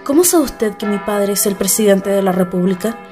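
A woman's voice: mid-range voice, lively intonation, moderate pace, close to an everyday voice. A woman playing a refined, courteous president's daughter in a radio drama, speaking formally and precisely. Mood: anxious, insistent. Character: refined president's daughter, polite, anxious, self-assured. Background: background music.

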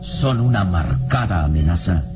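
A solemn storyteller of a radio serial, with a very low pitch. The scripted narration is dramatic and sits under very loud music. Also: male voice, animated, measured pace.

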